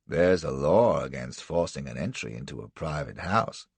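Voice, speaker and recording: A man voicing a calm, measured man with a slow, quiet, steady drawl. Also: extremely low pitch, gravelly, husky, dry recording, voice pushed down, heavily acted. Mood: cool.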